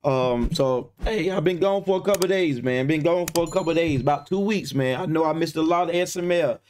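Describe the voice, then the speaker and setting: A man in his thirties hosting a reaction video from his gaming room, somewhat hoarse from losing his voice, laid-back, tagging "man" onto his lines. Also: on the high side, brisk, a little mellow, a little hiss or hum. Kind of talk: casual reaction commentary. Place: home gaming room indoors. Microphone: webcam framing, headphones on.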